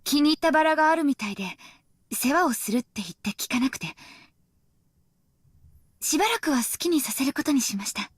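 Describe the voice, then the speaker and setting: An actress playing a harsh, short-tempered young mother with a sharp, clipped way of talking, in a visual novel game. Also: high voice, animated, very fast, pauses often, dry recording. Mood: polite, composed. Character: harsh, short-tempered young mother scolding her nine-year-old child. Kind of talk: dramatic Japanese visual novel voice acting. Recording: studio voice over loud string music.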